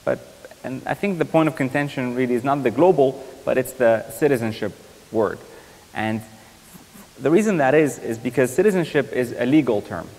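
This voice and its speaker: A young man from Iraq, a surgical resident giving a university guest lecture in a thoughtful, measured way, often pausing with ums and restarting sentences. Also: mid-range voice, lively intonation, darkish tone. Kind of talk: academic lecture. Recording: lecture hall reverb.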